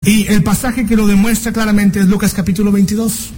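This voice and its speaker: A man with a very high voice preaches an earnest sermon, stretching out key words and pausing on rhetorical questions. He is from Mexico. A faint hum of ambience sits under his voice. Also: flat delivery, warm tone.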